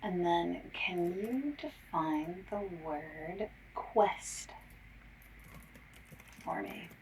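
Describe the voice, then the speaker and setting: Very slow, whispery ASMR woman. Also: on the low side, animated. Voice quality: breathy, often whispered. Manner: gentle, polite, encouraging, unhurried questioning. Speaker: ASMR roleplayer playing a phone survey caller. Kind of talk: ASMR, soft speaking and whispering. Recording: lo-fi, noisy, slightly distant mic. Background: mechanical keyboard typing.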